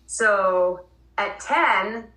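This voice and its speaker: A woman's voice: mid-range voice, drawn-out words, bright, forceful tone. A woman hosting a podcast interview, speaking conversationally as she introduces and questions a guest. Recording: roomy sound, distant from mic.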